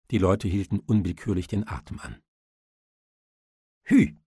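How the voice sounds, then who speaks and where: Low, husky male audiobook narrator. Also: warm tone, no echo, studio quality. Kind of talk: dramatic scripted literary narration.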